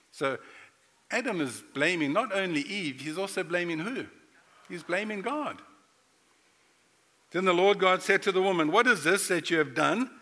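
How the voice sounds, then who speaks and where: A bright, fairly high-voiced middle-aged man preaching a sermon. He talks playfully and speaks straight to the congregation. His voice rings with a long reverb through the sanctuary. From South Africa. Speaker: pastor. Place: church sanctuary.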